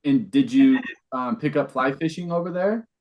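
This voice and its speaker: A man with a medium-pitched voice hosts a podcast. He asks guests questions in an informal, conversational style. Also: normal speed, a little mellow, low-quality recording. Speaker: podcast host. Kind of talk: casual conversational interview.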